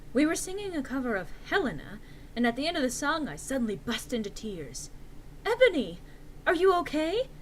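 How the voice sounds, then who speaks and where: A woman's voice: medium pitch, a little hiss or hum, poor audio. A woman narrating a story aloud in a theatrical, emphatic, comic dramatic-reading style.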